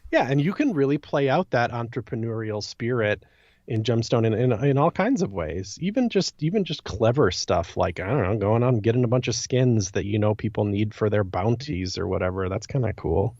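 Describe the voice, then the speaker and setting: A man's voice: fairly low pitch, lively intonation, a little fast, a little mellow, no room sound. A man discussing things casually on a podcast in an informal, conversational way. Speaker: podcast co-host or guest. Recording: dull, muffled, dry close audio.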